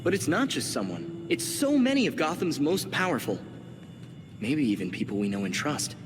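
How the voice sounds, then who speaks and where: A man's voice: fairly high pitch, huge pitch swings, moderate pace, background noise. An actor playing an earnest, inquisitive young male vigilante in a video game cutscene. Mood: intrigued. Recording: long reverb, loud music behind.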